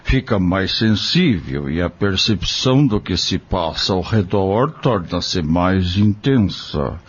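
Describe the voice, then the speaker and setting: A kindly Brazilian doctor played by a man in an audio drama, counselling patiently. His voice is low and unhurried, with lively swings in intonation as he gently explains. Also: a little hiss or hum. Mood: grave, explanatory. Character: kindly male doctor, patient, caring spiritist. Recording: dull lo-fi audio.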